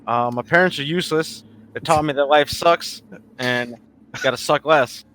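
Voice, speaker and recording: A man's voice: on the high side, normal speed, fairly bright tone, a little hiss or hum, low-quality recording. A man playing a tabletop game, joking casually in slangy talk as he describes his character. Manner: slangy, jokey. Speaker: player.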